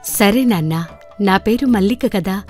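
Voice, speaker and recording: A woman voicing a gentle, selfless youngest farm daughter in a storybook folktale. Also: medium pitch, measured pace, faint music behind, close to an everyday voice. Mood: gentle, modest. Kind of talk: storybook dialogue by narrator.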